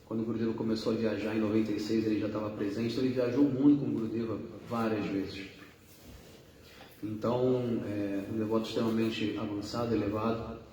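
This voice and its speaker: A man's voice: fairly low pitch, flat delivery, fast talker, mellow. A man introducing guest speakers at a religious gathering, rambling and correcting himself, with many fillers. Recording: echoey hall, distant mic, faint hum.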